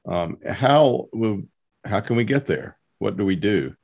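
A man hosting a casual interview, haltingly feeling his way through his questions and remarks. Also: lowish voice, expressive, gravelly, a little mellow, slightly rough. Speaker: podcast host interviewer.

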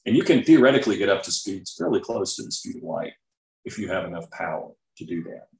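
Low-voiced man explaining, in a roomy space. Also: sing-song, fast, creaky. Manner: explanatory, checks in with "right?". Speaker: guest author and scientist. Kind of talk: conversational interview explanation. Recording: roomy, echoing sound.

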